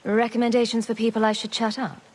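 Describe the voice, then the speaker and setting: A woman's voice: fairly high pitch, level tone, fast talker, darkish tone, slightly rough. A woman voicing a sardonic, confident noble adventurer in a video game, with a dry, wry delivery. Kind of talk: video game cutscene acting.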